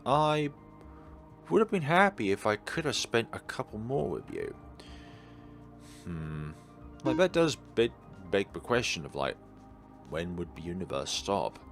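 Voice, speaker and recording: Male, very low pitch, animated melody, musing let's play host, digressive delivery. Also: a little slow, vocal fry, pauses often, faint music behind. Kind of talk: reading a visual novel aloud with rambling off-script commentary.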